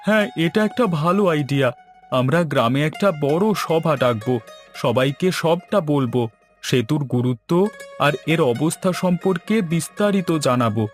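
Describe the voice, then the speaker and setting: Guy doing a younger cartoon village man, earnest, a bit high voiced, clear music underneath. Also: animated, moderate pace, natural voice. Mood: eager, concerned. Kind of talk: scripted cartoon dialogue.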